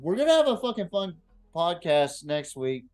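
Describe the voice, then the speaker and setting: Male podcast host, somewhat high pitch, profane, slangy, halting repeats, casual sports chat, faint music behind. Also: big pitch swings, a little mellow.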